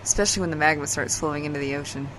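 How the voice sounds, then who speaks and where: A woman's voice: low voice, racing, a bit bright, slight background noise, poor audio. A woman playing an exasperated, dry-witted character in casual audio drama banter, sardonic and matter-of-fact.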